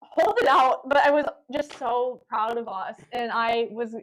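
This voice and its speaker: A woman's voice: on the high side, unhurried, poor audio. A young woman, a podcast guest, talking at length in a reflective, casual way. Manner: reflective, talkative, explains at length.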